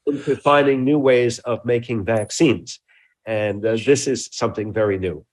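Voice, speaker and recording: A mature man with a mid-pitched voice speaks as a scientist being interviewed. He explains things deliberately and technically, choosing precise scientific terms. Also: steady tone. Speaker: research scientist interviewed as a guest.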